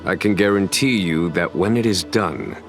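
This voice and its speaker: An actor playing a composed fantasy general in a game, speaking in measured, formal, eloquent phrases. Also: male voice, sits low. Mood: solemn. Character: composed, stately male general of a fantasy realm. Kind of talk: dramatic scripted game dialogue. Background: background music.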